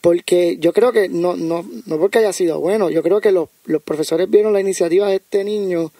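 A man tells stories from his life at an easy, conversational pace. His voice sits high for a man, with a gravelly, fried edge and a mellow, dark tone. He talks in a dry room and often circles back over his own words. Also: fairly quick, no echo. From United States (Connecticut). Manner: storytelling, repeats words as he thinks. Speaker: guest, music producer. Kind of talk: casual interview chat.

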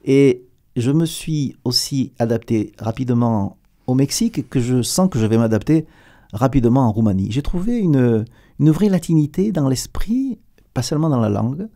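A middle-aged man with a southern French accent talks as a guest in a radio studio, gesturing as he speaks. His voice is gravelly and dark, and he often hesitates and repeats words before moving on. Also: mid-range voice, animated, moderate pace. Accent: southern French, Provençal. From southern France. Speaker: guest, company director. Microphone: table mic with headphones.

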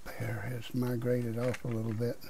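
Gravelly Southern man narrating gameplay. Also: mid-range voice, normal speed, background noise. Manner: relaxed, folksy drawl with regional words. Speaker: gaming YouTuber. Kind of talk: casual gameplay commentary.

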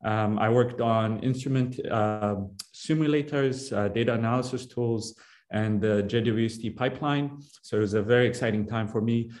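A man's voice: medium pitch, darkish tone. Young guy from ethiopia giving a zoom talk, friendly, lots of uhs. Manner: friendly, halting with fillers. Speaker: grad student speaker. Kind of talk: online seminar talk. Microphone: video call.

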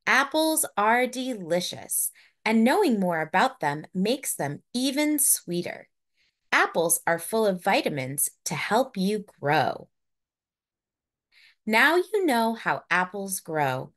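A woman narrates a children's picture book at a slow, careful pace. Her mid-pitched voice swings expressively up and down. She sounds like a storyteller spelling things out for young children. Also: big pitch swings, fairly bright tone.

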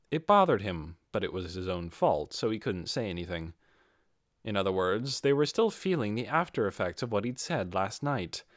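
A man's voice: on the low side, no echo, clean studio sound. A man reading a fantasy novel aloud as an amateur audiobook narrator.

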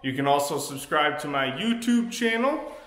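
Bright-voiced American man, echoey room. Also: a little high, expressive. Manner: halting, repeats phrases as he explains. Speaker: real estate agent hosting walkthrough videos. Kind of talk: unscripted property walkthrough commentary to camera. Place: empty rooms of a large house, indoors. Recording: echoey bare rooms, music behind.

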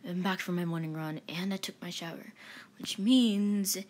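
A woman voicing an affectionate, patient young athlete boyfriend, soft and coaxing. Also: fairly low pitch, steady tone, normal speed, close to an everyday voice. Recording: slightly noisy home recording.

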